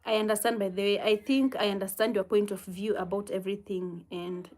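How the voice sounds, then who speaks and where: A woman with a Kenyan accent hosting a casual livestream, reading viewer comments and giving gentle, unhurried advice with soft laughs. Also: medium pitch, fairly even intonation.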